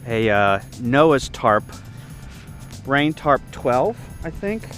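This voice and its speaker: A man's voice: medium pitch, big pitch swings, drawn-out words, a bit bright. Man giving a casual walkthrough over music. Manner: informal, explanatory, thinking aloud. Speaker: ham radio hobbyist giving a video tour. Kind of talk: casual unscripted walkthrough of a camp setup. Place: outdoor campsite.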